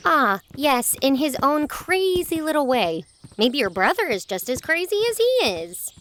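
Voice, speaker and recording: A woman's voice: high-pitched, sing-song, unhurried, fairly bright tone, exaggerated voice. A woman playing a feisty, argumentative young girl who likes to tease, in a children's audio drama. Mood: teasing.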